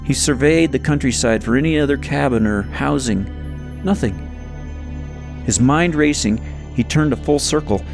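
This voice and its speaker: A man narrating a story from a script in an expressive, suspenseful, dramatic way. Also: medium pitch, moderate pace, creaky, music in the background. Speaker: audiobook narrator reading own novel.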